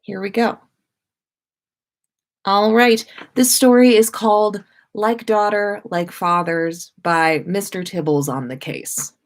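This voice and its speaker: A woman hosts a casual livestream in an informal, chatty way. She takes her time, speaking slowly at an average pitch for a woman. She addresses her listeners directly, like a podcaster talking to regulars.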